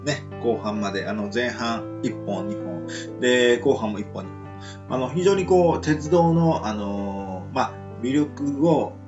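A man's voice: medium pitch, takes it slowly, lo-fi sound, over music. A man hosting a casual talk show, speaking politely in a rambling, hedging way. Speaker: radio show host.

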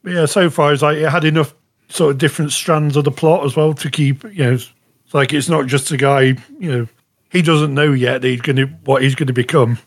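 A man chatting casually as a podcast panellist, halting and rambling, often saying "you know". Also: fairly high pitch, steady tone, breathy edge. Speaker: podcast panellist reviewing shows. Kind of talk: casual chat.

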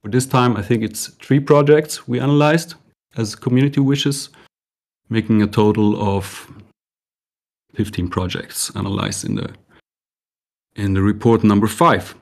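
Low-voiced male guest, soft-spoken. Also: steady tone, a little slow, long pauses. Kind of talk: casual podcast chat.

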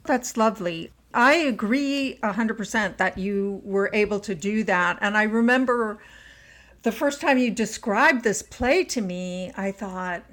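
A woman's voice: medium pitch, measured pace, a bit bright. A woman interviewing on a podcast in a conversational, supportive and appreciative way.

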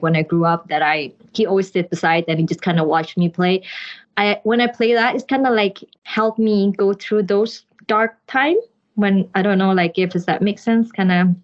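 A woman's voice: lowish voice, lo-fi sound. A woman with an Indonesian accent talking casually and informally as an interview guest, thinking aloud in a rambling way. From Jakarta, Indonesia.

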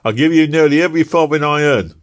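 A man lifts his voice a few notches to play an earnest young working-class husband in a storybook reading. He is awkward and stammers over his words, pitched above the reader's own low voice. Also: animated, measured pace. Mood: reproachful. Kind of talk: audiobook character reading.

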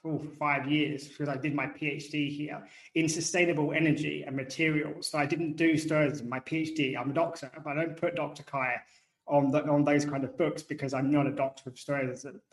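A man in his thirties with a softened working-class British accent, chatting casually as a guest about his work, self-deprecating and given to digressions. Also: fairly high pitch, steady tone, fairly quick. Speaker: guest author. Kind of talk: casual interview talk. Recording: echoey room.

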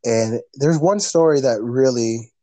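Casting: guy, mid-pitched, earnest and rambling, podcast guest, dry recording. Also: unhurried, dark tone, dry recording. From Canada. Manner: earnest, rambling, frequent fillers and restarts. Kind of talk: casual conversation.

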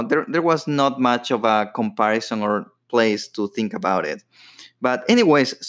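A man in his thirties hosts a solo podcast, talking in English with an Ecuadorian Spanish accent. His talk is casual and rambling, with loose phrasing and plenty of fillers. His voice sits at an ordinary middle pitch. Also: fairly bright tone. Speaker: podcast host.

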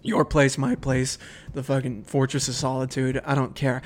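A man's voice: mid-range voice, flat delivery, moderate pace. A young man hosting a casual comics podcast, laughing often and swearing freely. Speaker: podcast host. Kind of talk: casual chat.

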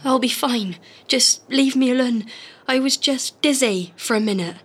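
An actress playing a hurt, guarded young streetwalker in an audio drama, defiant yet downcast, speaking colloquially. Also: female voice, a little high, unhurried, husky. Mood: defensive. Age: young adult.

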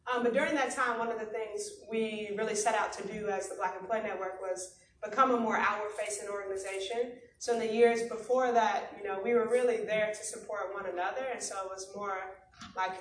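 A woman's voice: mid-range voice, fairly bright tone. A woman in her thirties with an American accent, answering conversationally as a guest in a panel interview. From Chicago, United States. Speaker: panel guest, company employee and founder. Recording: roomy echo, distant mic, noticeable background noise.

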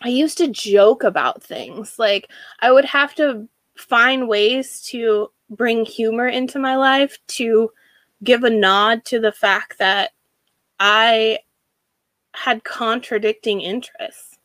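American female, lightly raised pitch, slow halting delivery that leans on repeated phrases, for a personal reflective monologue. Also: a bit bright, no room sound. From Washington state, United States. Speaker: speaker sharing a personal story.

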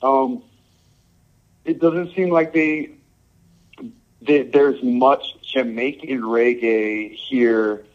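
Laid-back male musician from Samoa and Hawaii, mid-range voice, halting answers, dull lo-fi sound. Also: mid-range voice, fairly even intonation. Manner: laid-back, halting, full of "um" and "you know". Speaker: reggae musician guest. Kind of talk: casual interview answers.

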